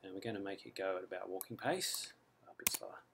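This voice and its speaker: A man with an Australian accent walks through a design program one step at a time, relaxed and unhurried, dropping the odd "um". His voice sits low with a gravelly edge, and the recording carries clear background noise along with mouse clicks and typing. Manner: relaxed step-by-step explaining with frequent ums. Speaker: software author demonstrating his add-in. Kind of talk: screen-recorded software tutorial. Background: mouse clicks and keyboard typing.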